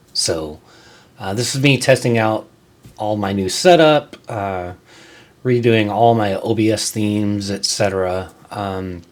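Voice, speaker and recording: A man hosting his own creator show, talking to viewers in a casual, conversational way with frequent halting pauses. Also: lowish voice. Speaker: host of a creator video show, musician. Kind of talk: casual scripted-and-ad-libbed vlog to viewers.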